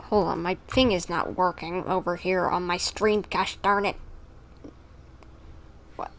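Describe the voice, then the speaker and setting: A woman's voice: fairly low pitch, steady tone, a little slow. Woman streamer chatting casually, rambling along. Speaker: live streamer. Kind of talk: casual livestream chat with viewers.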